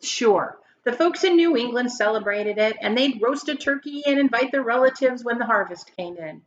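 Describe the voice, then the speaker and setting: A woman's voice: fairly high pitch, animated, normal speed, highs cut off. A woman reading a children's picture book aloud like a teacher, with emphatic, dramatic phrasing and questions put to her listeners. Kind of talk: expressive children's story read-aloud.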